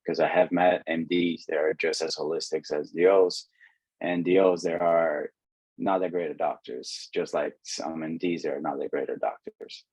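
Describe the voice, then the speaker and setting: A man from Brazil with a very low, husky voice explains things to an audience in an online presentation. His pitch stays flat, and he restarts his sentences often. The sound is dry and close. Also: dry recording, rough recording. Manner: explanatory, with frequent restarts and fillers. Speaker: medical student and mentor presenting. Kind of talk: webinar presentation.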